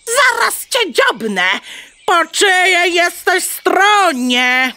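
A woman's voice: very high voice, very animated, extremely slow, pushed and bright, full character voice. A woman playing a small, feisty, sarcastic male farm animal in a children's audio play. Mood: indignant. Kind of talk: children's audio drama acting.

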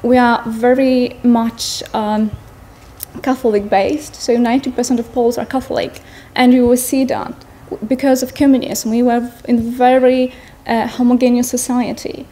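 A woman in her thirties with a Polish accent, giving an earnest personal talk to an audience in a large tent, pausing to search for words. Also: a little high, level tone, dark tone. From Poland. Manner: earnest, searching for words, non-native phrasing. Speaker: speaker at a Buddhist gathering. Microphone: stand mics in front of her.

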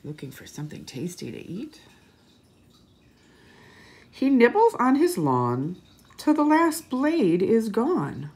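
A woman reading a picture book aloud expressively for young children, with pauses, asides and questions to her listeners. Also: fairly high pitch, wildly swooping pitch, a little mellow, a little hiss or hum. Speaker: children's story reader and teacher.

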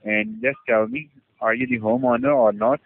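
A man cold-calling as a telemarketer with a hesitant sales pitch over the telephone. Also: steady tone, normal speed. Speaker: telemarketing caller. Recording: tinny narrowband phone line.

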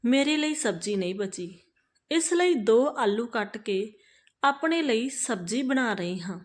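A woman voicing a timid young daughter-in-law in a read-aloud family story, soft and pleading. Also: a little high, lively intonation, a little slow.

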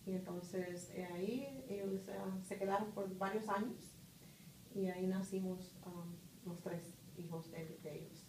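A middle-aged woman, answering interview questions in an office conference room, pausing often with fillers. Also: mid-range voice, a little mellow. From Puerto Rico. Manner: thoughtful, pauses with many "ah" and "este" fillers. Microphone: far phone camera. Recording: roomy, distant, faint hiss.

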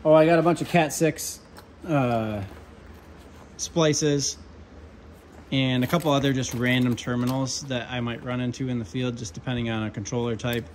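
A young man, an HVAC controls tech, chatting casually through his tool bag at a workbench, relaxed with lots of ums and asides. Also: medium pitch, moderate pace, slight background noise. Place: workshop with pegboard and workbench.

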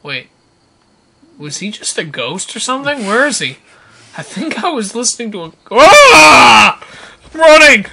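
A man co-hosting a video game show, joking casually and exclaiming excitably as he plays. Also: very high-pitched, unhurried, gravelly, long pauses, noisy background. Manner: excitable, jokey, prone to exclaiming. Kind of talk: casual, joking gameplay commentary.

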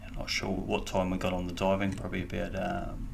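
A man's voice: low voice, monotone, brisk, creaky, noisy background. A man with a New Zealand accent giving casual voice-over commentary as a diving vlogger. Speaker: vlog host and diver.

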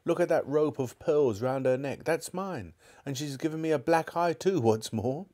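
A man putting on a shrill indignant fat shopkeeper. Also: normal speed, mellow, close to an everyday voice. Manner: indignant, accusing.

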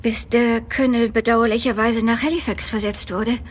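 A woman's voice: fairly high pitch, steady tone, normal speed, breathy edge. A young woman playing a proper, earnest, slightly hesitant governess in a German audio drama, speaking courteously and formally.

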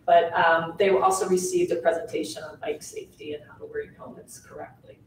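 A woman giving the assistant superintendent's report at a school board meeting, in a measured way with some fillers. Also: on the low side, slight background noise. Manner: measured, reads out figures with fillers. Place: large meeting room with long tables. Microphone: table mic from far off. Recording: echoey boardroom picked up from far off.

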